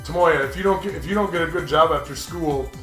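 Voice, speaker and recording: A man voicing a mild, reasonable father who gently admonishes his teenage son. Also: a little high, fast, reverberant, soft background music, natural voice. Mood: mild concern. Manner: plain, reasonable, mildly admonishing.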